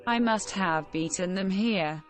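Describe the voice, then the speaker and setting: An actress playing a terse, direct heroine in a fantasy video game. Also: female voice, medium pitch, a little slow, faint music behind, close to an everyday voice. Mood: businesslike. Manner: curt, direct. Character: terse, businesslike heroine adventurer. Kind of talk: video game character acting.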